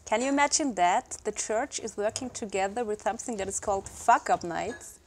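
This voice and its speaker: A woman's voice: medium pitch, brisk. A woman hosting a casual interview in an informal, upbeat way. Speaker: interviewer, host. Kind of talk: casual live interview chat.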